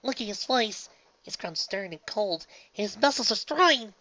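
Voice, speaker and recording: A woman's voice: medium pitch, expressive, moderate pace, sharp tone, lo-fi sound, pitched above the performer's own voice. A woman voicing an earnest sports fan commenting on the game.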